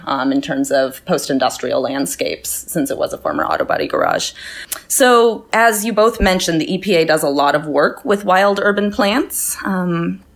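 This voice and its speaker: A woman hosting an interview, asking her guests questions and answering with quick agreement. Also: deep voice. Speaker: interview host. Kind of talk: conversational interview.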